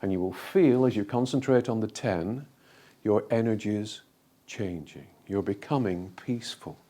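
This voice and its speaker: A man leading a guided meditation in a calm, gentle, deliberate way, leaving frequent pauses between phrases. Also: on the low side.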